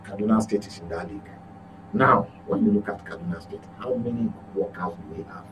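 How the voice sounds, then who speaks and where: A Nigerian-accented man giving political commentary on a talk show as a journalist, emphatic, often repeating and correcting his words. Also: on the low side. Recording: clearly noisy with electrical hum.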